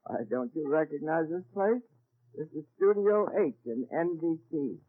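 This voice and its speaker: Helpful, unfazed guardian angel, high and lively, old radio comedy on a tinny, hissy recording. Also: male voice, a little slow. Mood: matter-of-fact. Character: obliging, matter-of-fact male guardian angel. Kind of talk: 1940s radio comedy acting.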